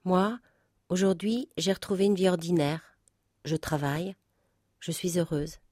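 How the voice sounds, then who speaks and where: An actress playing a woman who calmly and plainly recounts her ordinary life in a radio drama monologue. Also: lowish voice, normal speed, no echo, clean studio sound, natural voice.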